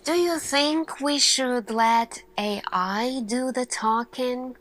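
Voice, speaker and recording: A woman playing an earnest, thoughtful lead scientist in an ASMR roleplay, speaking in a hushed, deliberate way with pauses and searching questions. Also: high voice. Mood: wondering, wry.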